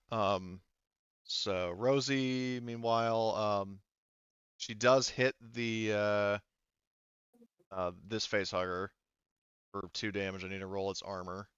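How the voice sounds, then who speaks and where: A man running an online tabletop roleplaying game as game master, talking haltingly as he thinks aloud through the rules. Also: on the low side, fairly even intonation, pushed and bright, clean tone, no room sound, lo-fi sound.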